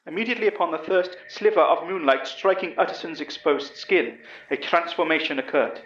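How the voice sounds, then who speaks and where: A man's voice: fairly high pitch, brisk, fairly bright tone, natural voice. A man playing an elderly English professor who earnestly recounts a horror story, in a roomy, slightly dull recording. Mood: grave. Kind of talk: first-person horror audio drama narration.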